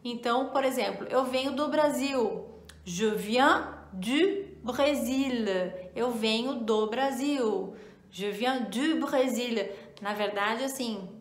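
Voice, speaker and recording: A woman teaching French in an online lesson, patiently repeating each example slowly. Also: fairly high pitch, reverberant. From Portugal, raised in Brazil.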